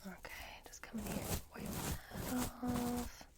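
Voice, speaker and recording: A woman whispering in a breathy, gentle and reassuring murmur as she performs a soft-spoken ASMR roleplay. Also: a little high, fairly even intonation, slow.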